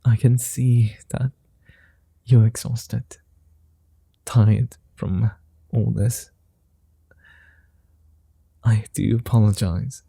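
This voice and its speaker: A courtly male vampire, played by a man, murmurs in a dark, gravelly voice that turns husky and breathy as he leans into whispers. He takes his time over every word, very slow and patient, close and dry as if right at your ear. His formal, old-fashioned manner is gentle and coaxing. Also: mid-range voice, dry recording, exaggerated voice. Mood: soothing. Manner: soft-spoken, slow, formal, drifting into whispers. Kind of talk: ASMR roleplay.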